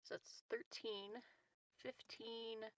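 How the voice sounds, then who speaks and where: A woman's voice: fairly high pitch, level tone, slow pace, no room sound. A woman chatting casually and playfully as a player in a tabletop roleplaying game. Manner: playful, joking.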